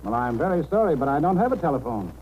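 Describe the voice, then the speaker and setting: An actor playing a kindly, generous, well-spoken country householder in a 1930s radio drama. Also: male voice, a little high, very animated, fast, a little mellow, lo-fi sound. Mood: helpful. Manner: genial, helpful, well-spoken. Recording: noisy 1930s radio broadcast.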